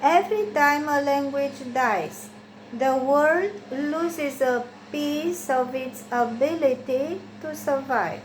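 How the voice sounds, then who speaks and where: High-voiced woman reading slowly. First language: Romanian. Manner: slow, careful reading with deliberate phrasing. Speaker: English learner working through textbook exercises. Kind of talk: reading exercises aloud and answering them. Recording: roomy echo with faint background hiss.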